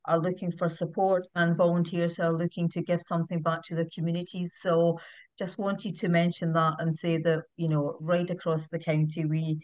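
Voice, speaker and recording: A British woman hosts a webinar over a video call. She has a slightly low voice with a dry, close sound and fills her pauses with frequent ums. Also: a little mellow, dry recording, rough recording. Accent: British English. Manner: fluent but with frequent ums. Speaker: webinar host. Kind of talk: webinar hosting.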